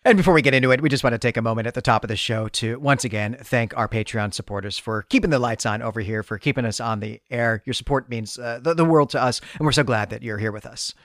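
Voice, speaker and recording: A man hosts a podcast, talking through a story recap in a conversational way. His voice is mid-pitched and recorded close and dry, with no room sound. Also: fast talker, hardly any pauses, fairly bright tone, dry recording. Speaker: podcast host.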